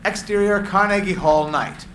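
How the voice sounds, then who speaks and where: A man's voice: high-pitched, normal speed, a bit bright. A man reading screenplay action and stage directions as narrator at a live script reading. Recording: echoey room with clear background noise.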